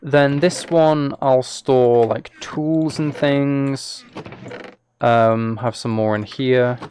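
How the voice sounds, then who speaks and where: A man's voice: mid-range voice, steady tone, very slow, a little mellow, a little hiss or hum. A man chatting casually on a live game stream, thinking aloud as he plays and talking to his viewers.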